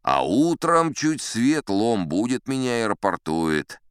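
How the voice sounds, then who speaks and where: An actor playing a chatty, boastful old sea captain, spinning tall tales in a theatrical audiobook manner. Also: male voice, medium pitch, very animated, takes it slowly, clear, resonant tone, dry recording, studio quality. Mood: wry recounting. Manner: theatrical, leisurely, old-fashioned conversational raconteur. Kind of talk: dramatized audiobook storytelling.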